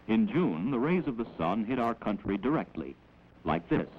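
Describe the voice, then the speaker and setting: A man with an American accent gives measured, instructive scripted narration in the manner of a 1940s educational film, on an old film soundtrack. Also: fairly low pitch, sing-song. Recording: dull, noisy old 16mm film soundtrack.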